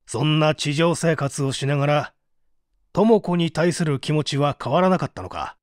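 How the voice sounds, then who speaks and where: A man's voice: fairly high pitch, moderate pace, dry recording, close to an everyday voice. Man acting a stern prosecutor, dry recording. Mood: stern, probing. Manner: formal, probing. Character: stern probing male prosecutor questioning a suspect. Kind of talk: dramatic reading of a mystery story, radio drama.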